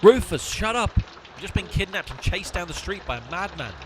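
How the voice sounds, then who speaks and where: A man acting the long-suffering leader of a comic duo, bossy and exasperated. His fairly high voice swings up and down in a radio play with faint background noise. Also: lively intonation, normal speed. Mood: exasperated, insistent. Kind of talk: amateur comedy audio drama acting.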